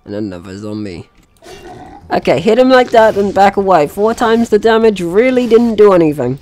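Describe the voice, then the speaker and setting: Man commentating a game, very varied pitch. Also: sing-song, normal speed, a little mellow, soft background music. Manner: chatty, jokey, talks to enemies and himself. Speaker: gaming channel host. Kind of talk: casual let's play commentary.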